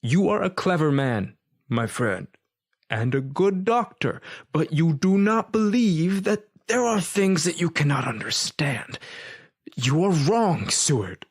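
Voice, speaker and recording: An actor playing a learned older vampire hunter in a gothic audio drama, grave and insistent, with deliberate, emphatic, questioning speech. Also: male voice, a little high, animated, husky, no room sound, clean studio sound, exaggerated voice. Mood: insistent, probing.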